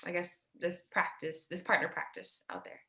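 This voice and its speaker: Actress as bright-voiced weary older sister. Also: female voice, lowish voice, moderate pace, vocal fry, roomy sound. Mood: hesitant, worried. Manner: hesitant, guarded, blunt swearing. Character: tired, protective, foul-mouthed older sister. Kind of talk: naturalistic staged play reading.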